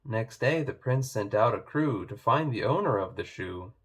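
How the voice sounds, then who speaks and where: A man reading rhyming children's stories aloud in a measured, expressive way. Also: lowish voice, husky. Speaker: storybook reader.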